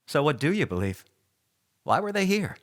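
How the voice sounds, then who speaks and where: An actor playing a serious, probing interviewer and narrator in a radio documentary drama. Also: male voice, a little high, big pitch swings, normal speed.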